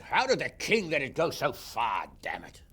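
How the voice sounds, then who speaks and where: A man playing a blunt, wry, impatient nobleman in a game drama, with a raspy, gravelly voice. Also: mid-range voice, wildly swooping pitch, normal speed, a bit bright, exaggerated voice. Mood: impatient, probing. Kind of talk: dramatic game cutscene acting.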